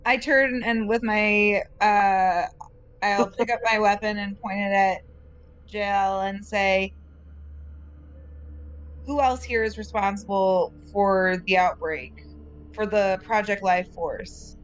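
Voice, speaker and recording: A woman's voice: mid-range voice, steady tone, takes it slowly, smooth, rough recording. A woman player in a tabletop roleplaying game, describing actions and speaking in character. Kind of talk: in-character game play, slow and deliberate.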